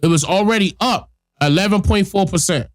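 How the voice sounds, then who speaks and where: A man's voice: high voice, fairly quick, dry recording, studio quality. A man hosting a podcast, giving blunt, emphatic casual commentary straight to his listeners while getting over a cold that leaves him stuffy-nosed. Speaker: podcast host.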